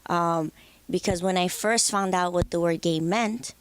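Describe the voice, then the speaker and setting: Casting: young woman from the Dominican Republic, lowish voice, candid, reflective interview guest. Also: measured pace, smooth, dry recording. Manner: candid, reflective, with frequent restarts. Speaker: guest musician. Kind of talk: interview conversation.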